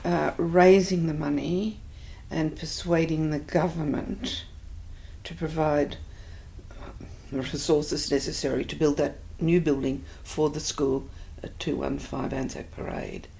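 Older Australian woman, low and gravelly. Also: fairly even intonation, measured pace, dark tone, slight background noise, low-quality recording. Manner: reflective, hesitant with many fillers. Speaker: interviewee. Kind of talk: oral history interview.

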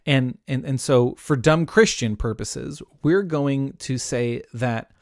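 A young adult man explains a Bible passage in a relaxed, chatty way, stopping for side notes. His voice sits in a middle pitch, and the recording is dry and close with no room echo. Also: slow pace. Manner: chatty, with many asides. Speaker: Bible teacher. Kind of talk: casual Bible teaching.

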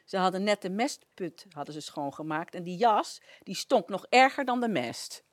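A woman presenting anecdotes on local radio. Her mid-pitched voice moves up and down in a lively way, and the recording is close and dry. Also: normal speed, no echo.

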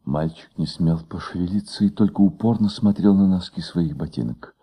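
A man's voice: low-pitched, moderate pace, mellow, husky. A man reading scripted literary narration as the narrator of a Soviet radio play.

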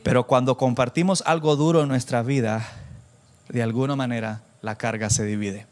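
A man preaching informally to a church congregation, animated and self-deprecating, bantering with listeners and dropping in English phrases. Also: mid-range voice, normal speed. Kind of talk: informal conversational sermon with anecdotes.

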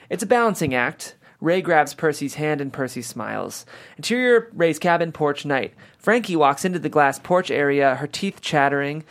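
A man reading the scene headings and action of a screenplay as scripted narration. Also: on the high side. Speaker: stage directions reader.

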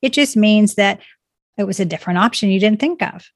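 A woman's voice: medium pitch, brisk, dry recording, studio quality. A woman speaking as a guest in a conversation, earnest and encouraging, speaking in positive affirmations about personal growth. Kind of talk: reflective conversation.

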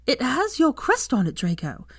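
Shrill, furious young witch, read by a woman, high and loud, accusing. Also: wildly swooping pitch, normal speed, breathy edge, dry recording, studio quality, full character voice. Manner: shrieking, sharp accusations.

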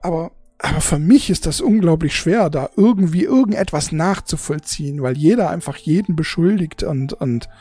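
A forty-year-old speaking off the cuff to an audience in a husky, gravelly voice, halting and repeating words, emotional and blunt with casual swearing. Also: mid-range voice, lively intonation, mellow, dry recording. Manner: halting, repetitive, searching for words. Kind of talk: unscripted casual monologue to an audience.

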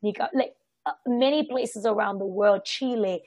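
A woman from Haiti giving a casual, reflective personal account, halting and often circling back to correct herself. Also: medium pitch, darkish tone, breathy edge, dry recording. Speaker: participant sharing personal experience in a group discussion.

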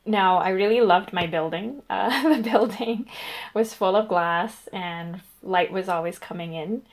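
A woman's voice: medium pitch, measured pace. A young woman from Guyana, a graduate researcher, talking casually in an interview, with lots of ums and laughing as she tells her story. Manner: chatty, frequent ums, laughs through stories.

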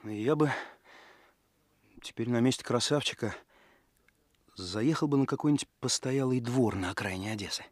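An actor playing an earnest, kindly young police chief in a radio play, musing and explaining in a halting, thoughtful way. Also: male voice, medium pitch, dry recording, lightly acted. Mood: pondering.

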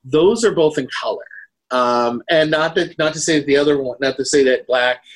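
A man co-hosting a podcast, talking casually about films in a halting, hedging way. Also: a little high. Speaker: podcast co-host. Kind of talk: casual film discussion.

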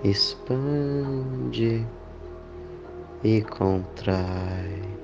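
A man leading a guided meditation, speaking in deliberate phrases with long pauses. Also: fairly low pitch, highs cut off. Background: clear background music.

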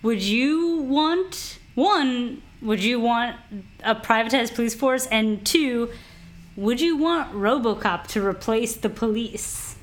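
Lively woman podcast co-host. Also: fairly high pitch, slow pace. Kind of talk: casual chat.

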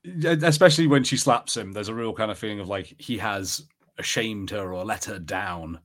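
A man with a fairly low voice hosts a podcast in loose, casual conversation. He rambles along conversationally, often circling back to restart a thought. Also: moderate pace, fairly bright tone. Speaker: podcast host reviewing TV. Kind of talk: casual chat.

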